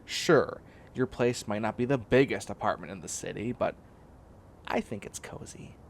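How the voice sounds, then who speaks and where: Clingy, demanding young catboy for comedic audio roleplay, male actor; lively pitch swings with a creaky edge, faint background noise. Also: moderate pace. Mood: content, defensive. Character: bossy, clingy young man turning into a catboy.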